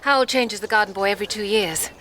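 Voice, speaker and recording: A woman's voice: medium pitch, quick pace, fairly bright tone, slight background noise, close to an everyday voice, rough recording. A woman playing a fond, fussing, genteel English mother in a radio drama. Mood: fond, chatty.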